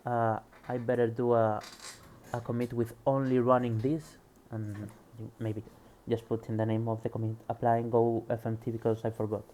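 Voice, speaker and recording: A man with a Spanish accent reads technical text aloud in a very dark, mellow voice. Between passages he mutters quietly to himself while testing the code. A faint hum sits under the recording. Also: medium pitch, flat delivery, normal speed. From Spain. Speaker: programmer reading a book aloud. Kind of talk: casual screen-recorded reading and coding.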